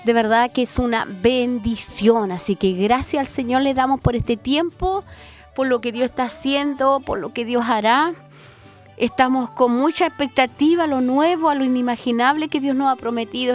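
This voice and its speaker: Woman radio preacher, fervent and repetitive, somewhat high voice, just over a cold, soft music under her. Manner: fervent, repetitive, with filler "eh" and "¿cierto?". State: recovering from a cold.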